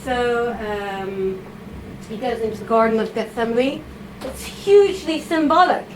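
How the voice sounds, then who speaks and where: A woman giving a chatty, informal talk to a live audience, full of asides, fillers and quick "okay?" checks. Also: fairly high pitch. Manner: chatty, animated, with frequent fillers and tag questions. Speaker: writer giving a reading. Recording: audible background noise.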